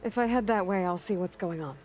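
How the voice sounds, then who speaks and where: An actress playing a determined, self-reliant young warrior heroine in a video game, direct and questioning. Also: female voice, lowish voice, brisk, darkish tone, close to an everyday voice, rough recording. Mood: curious. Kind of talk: video game character acting.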